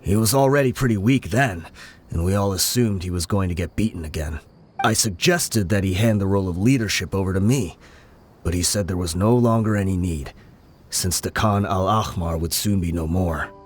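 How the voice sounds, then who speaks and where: A man voicing a vengeful male gang lieutenant in a video game, explaining events in a measured way. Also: deep voice, a little hiss or hum, close to an everyday voice. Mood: grim.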